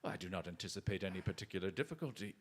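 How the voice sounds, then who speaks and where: A man playing a confident, quick-witted detective in a theatrical radio drama, with a polite, mocking manner. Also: low-pitched, animated, a little hiss or hum. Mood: smugly triumphant.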